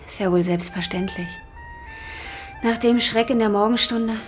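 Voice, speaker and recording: An actress playing an earnest, gentle young waitress in a radio drama. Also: female voice, fairly high pitch, normal speed, soft background music, lightly acted. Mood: gentle, reflective. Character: young waitress, soft-spoken, earnest and kind.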